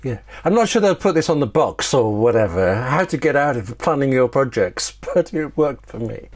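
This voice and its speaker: A man's voice: medium pitch, animated, moderate pace, darkish tone. A man talking casually as an interview guest, telling anecdotes in a wry, self-deprecating way with rambling asides. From Britain.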